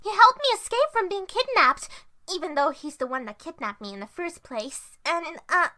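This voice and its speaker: Piercingly bright, squeaky little girl. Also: lively intonation, normal speed, full character voice. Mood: explaining. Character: sassy, excitable little magical girl. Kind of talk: anime parody audio drama.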